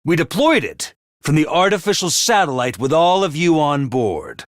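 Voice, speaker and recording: A man voicing an excitable, theatrical mascot commander of a military school in a video game, speaking emphatically. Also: a little high, normal speed, dry recording, clean studio sound. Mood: solemn explaining. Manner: theatrical, emphatic, swinging from grave to bombastic. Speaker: commander addressing students. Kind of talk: animated video game voice acting.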